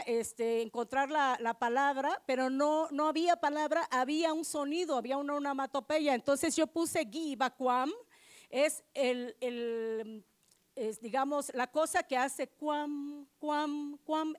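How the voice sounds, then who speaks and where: High-voiced woman, native Zapotec speaker. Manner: explanatory, hesitant, with "eh" and "este" fillers. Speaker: translator at a book presentation. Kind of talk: informal public remarks.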